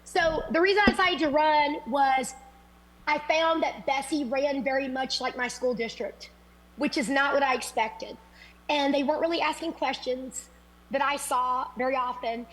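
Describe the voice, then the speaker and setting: Candidate, woman from Louisiana, sick, high voice, earnest and rambling, on a video call. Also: fairly even intonation, normal speed, a bit bright, poor audio. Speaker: political candidate. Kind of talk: forum answers.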